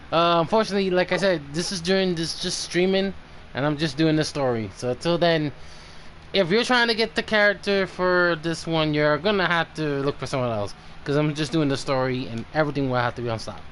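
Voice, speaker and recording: A man's voice: on the high side, fairly quick, a bit bright. A man doing casual livestream commentary as he plays a game, with some noise behind him. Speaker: game streamer.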